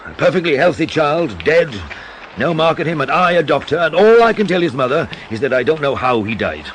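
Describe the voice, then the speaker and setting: Grave Welsh country doctor. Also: male voice, on the high side, animated, brisk, a bit bright, a little hiss or hum, rough recording. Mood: exasperated. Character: weary, frustrated rational Welsh country doctor. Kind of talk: radio drama acting.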